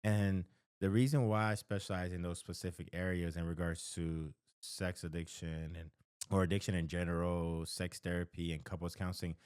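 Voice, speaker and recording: Deep-voiced American man giving counseling advice. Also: level tone, normal speed, darkish tone, smooth, no echo, studio quality. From the American South. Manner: emphatic, repeats key phrases for stress; conversational, often checks in with "right?" and "okay?". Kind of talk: direct-to-camera advice talk.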